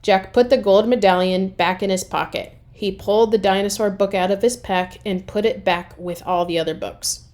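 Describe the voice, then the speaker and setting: Female read-aloud storyteller for children's chapter books: mid-pitched, expressive, with dramatic pauses. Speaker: reader of a children's chapter book.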